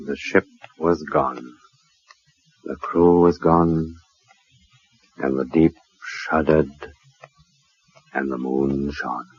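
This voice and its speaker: An actor playing a grave male storyteller, narrating a 1940s radio drama in a solemn, measured, theatrical manner. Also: a bass voice, steady tone, heavily acted. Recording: dull, noisy 1940s radio recording.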